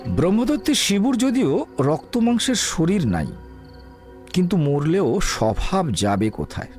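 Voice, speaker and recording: A man's voice: high-pitched, sing-song, unhurried, darkish tone, faint music behind. A man narrating a scripted comic audio drama as a dramatic storyteller.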